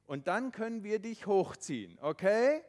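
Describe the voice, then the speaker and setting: A man voicing a steady father who calmly reassures his son and explains how to tie a rope for a rescue. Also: sits high, a little slow, pitched above the performer's own voice. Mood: reassuring. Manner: reassuring, instructing.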